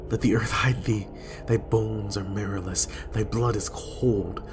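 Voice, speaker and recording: A man playing a guilt-haunted, fearful and raging king in a Shakespearean dramatic reading, with a husky voice. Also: fairly low pitch, steady tone, unhurried, over music, lightly acted. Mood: defiant, frightened. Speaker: amateur reader in a dramatic reading.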